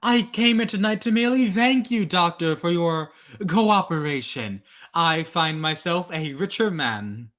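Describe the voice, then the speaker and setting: A cool professional killer in an old-time radio thriller, acted by a man, speaking in a fairly high male voice at a very slow pace. He is formal and measured, understating every threat with careful politeness. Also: expressive, a bit bright. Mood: smugly defiant.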